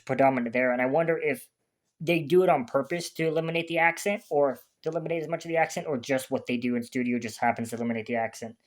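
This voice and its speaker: A man hosting a music reaction video, talking casually in a rambling way and often restarting his sentences. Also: mid-range voice. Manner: chatty, rambling, restarts sentences while searching for words.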